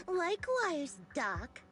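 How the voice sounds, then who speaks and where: A soft-spoken young anime game heroine with a high, lilting voice. She takes her time with every phrase, teasing with a calm menace, over prominent background music. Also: extremely slow, heavily acted. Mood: calmly menacing. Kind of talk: anime video game voice acting.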